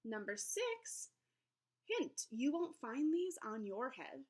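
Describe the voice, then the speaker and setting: A woman teacher reading a children's book aloud in an expressive way, pausing to explain things simply to young listeners. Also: sits high, breathy edge. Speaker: elementary school teacher.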